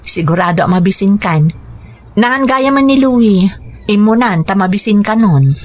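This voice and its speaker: An actress playing a gentle, patient mother and wife in a radio drama, speaking with a Filipino accent. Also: medium pitch, animated, normal speed, lightly acted. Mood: warm, domestic. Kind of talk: radio drama acting in domestic scenes. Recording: music bed behind radio drama dialogue.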